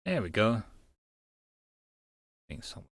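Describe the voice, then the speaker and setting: A man's voice: fairly low pitch, very animated, a little slow, no room sound. Man narrating a visual novel, dry close sound. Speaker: narrator and game player. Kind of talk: visual novel read-aloud with casual gameplay remarks.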